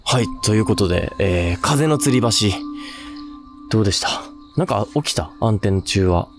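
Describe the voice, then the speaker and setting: A man's voice: on the low side, lively intonation, normal speed, over music. A man hosting a paranormal investigation on location, chatting casually and reacting to the place. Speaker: paranormal investigator and host in a video duo. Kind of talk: casual on-location ghost-hunting chat.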